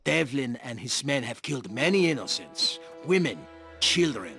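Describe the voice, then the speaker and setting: Gravelly, coaxing Mexican bandit leader. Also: male voice, medium pitch, very animated, moderate pace, pushed and bright, heavily acted. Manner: coaxing, persuasive. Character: sly older Mexican bandit leader, smooth tempter. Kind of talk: video game cutscene acting. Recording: music behind the voice.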